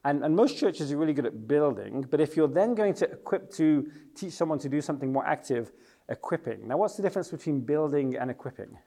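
A man's voice: fairly high pitch, animated, a little fast, creaky, warm tone. A middle-aged Englishman leading a teaching session in a chatty, joking way, asking the audience questions. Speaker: youth worker and church leader.

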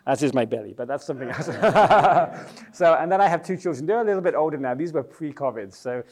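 A man's voice: a little high, lively intonation, brisk. A middle-aged Englishman leading a teaching session in a chatty, joking way, asking the audience questions. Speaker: youth worker and church leader.

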